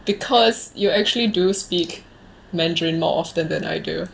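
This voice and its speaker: A woman in her late twenties with a Singaporean accent, hosting a relaxed, informal conversation. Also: lowish voice, moderate pace, vocal fry, poor audio. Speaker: host of a conversational video series. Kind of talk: casual chat.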